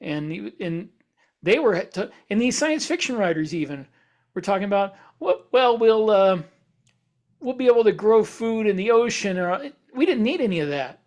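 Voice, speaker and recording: Opinionated podcast co-host, halting male speaker. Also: high voice, expressive, a little mellow. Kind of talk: casual podcast discussion.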